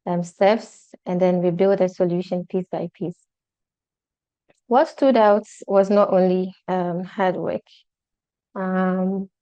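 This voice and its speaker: Smooth-voiced woman with dull call audio. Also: on the low side, dark tone, no room sound. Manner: halting, many filler pauses. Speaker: meeting moderator. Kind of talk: online meeting presentation.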